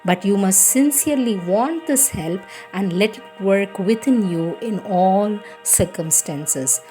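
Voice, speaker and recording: A woman giving a slow, reverent reading of devotional letters. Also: mid-range voice, lively intonation, dark tone, soft background music. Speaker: reader.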